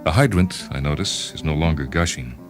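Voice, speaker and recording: A man reading a first-person short story in a wry, measured, literary way, as a dramatic narrator. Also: extremely low pitch. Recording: music bed behind the voice. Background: instrumental music with guitar.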